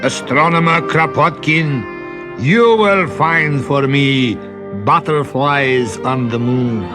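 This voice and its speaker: A man voicing a haughty, bullying prince in a storybook, quick to anger, with imperious commands. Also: medium pitch, music in the background, pitched above the performer's own voice, natural voice. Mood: commanding. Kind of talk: storybook character acting.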